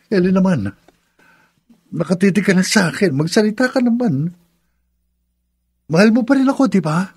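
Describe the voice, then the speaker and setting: An actor playing a pleading, self-centred young lover in a Filipino radio drama, insistent and melodramatic. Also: male voice, high-pitched, animated, normal speed, pauses often, darkish tone, husky, heavily acted. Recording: music behind the voice.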